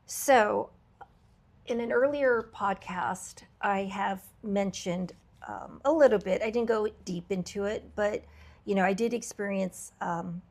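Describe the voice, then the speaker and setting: A woman hosting a podcast, her voice a little raspy from travel, talking in an earnest, reflective way with frequent fillers and restarts. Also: medium pitch, measured pace, a little mellow. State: slightly raspy voice from travel fatigue. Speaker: podcast host. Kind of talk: candid podcast conversation.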